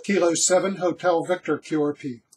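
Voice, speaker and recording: Higher-voiced older man over radio noise. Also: fairly even intonation, normal speed. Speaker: amateur radio operator. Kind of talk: radio call-sign calling and brief commentary. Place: small cluttered home radio room. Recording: loud radio static and clicking noise.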